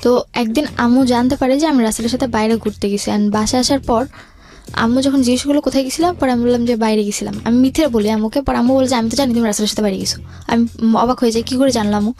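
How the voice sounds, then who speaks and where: Fast-talking Bangladeshi woman, altered high voice. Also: steady tone, warm tone. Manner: quotes remembered conversations, mixes in English words. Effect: voice altered to hide identity. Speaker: guest telling own life story. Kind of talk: personal storytelling in radio interview.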